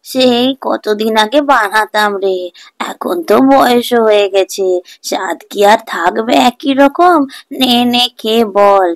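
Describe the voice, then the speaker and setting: A woman voicing a kindly old village grandmother in a cartoon folk tale, fond and reassuring, with drawn-out words. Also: fairly high pitch, dry recording. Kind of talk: animated children's folk tale acting.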